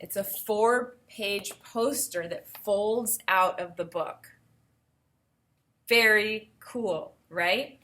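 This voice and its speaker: A woman teacher giving an expressive read-aloud for children, with enthusiastic explanatory asides. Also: mid-range voice, extremely slow. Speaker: teacher reading a picture book aloud.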